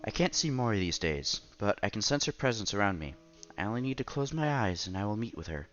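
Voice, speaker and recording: Man, lowish voice, expressive story reading, slightly dull mic, game music behind. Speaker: streamer reading a visual novel aloud. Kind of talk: expressive read-aloud of story narration and dialogue.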